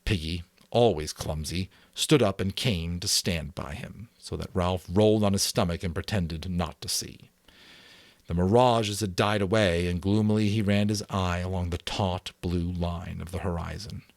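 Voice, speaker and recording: A man giving a dramatic audiobook reading, narrating in an expressive storytelling manner. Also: deep voice, normal speed, dry recording.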